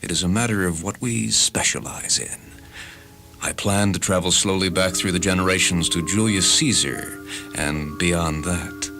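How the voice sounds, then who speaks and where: A very low, fervent parasitic time traveler from the future, speaks with grandiose deliberation. It is radio drama, with music playing clearly behind the voice. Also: male voice, a bit bright. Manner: grandiose, deliberate, relishing suffering. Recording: voice over a music bed. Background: clear background music.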